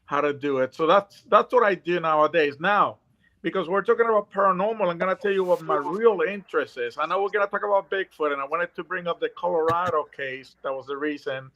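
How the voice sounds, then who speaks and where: A middle-aged man with a Puerto Rican accent speaks in an earnest, rambling way, often restarting his sentences. His voice sits a touch high for a man. The video call audio sounds dull and lo-fi. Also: quick pace, bright, forceful tone. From Puerto Rico. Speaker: guest. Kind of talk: casual interview talk. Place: dim room at home.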